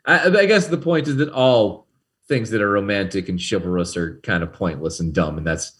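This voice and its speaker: A man's voice: fairly low pitch, normal speed, a bit bright. A man co-hosting a podcast, bantering casually about television in a blunt, sweary, sarcastic way. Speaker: podcast co-host.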